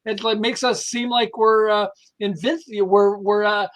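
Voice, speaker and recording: Podcast guest guy w/ high voice, animated, stop-start, explains stuff with stories. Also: unhurried. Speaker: podcast guest, behavioral management specialist. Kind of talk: conversational anecdotal explaining.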